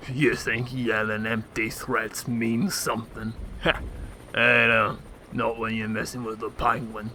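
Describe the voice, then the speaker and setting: Gravelly, menacing gangland enforcer played by a man. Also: medium pitch, little pitch movement, unhurried, pushed and bright, slight background noise. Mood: taunting. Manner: threatening, taunting. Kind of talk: radio drama acting.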